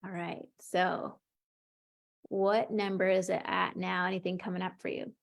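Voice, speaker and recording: A woman coaching an emotional tapping session, calmly leading her client through short phrases to repeat, in an encouraging way. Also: on the low side, unhurried, vocal fry. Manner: calm, encouraging, leads with short prompts.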